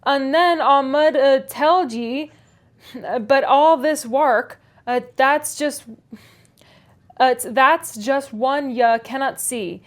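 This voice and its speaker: A woman voicing a grumbling, sneering old male servant, drawling a thick rustic dialect in a storybook reading. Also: sits high. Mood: cranky.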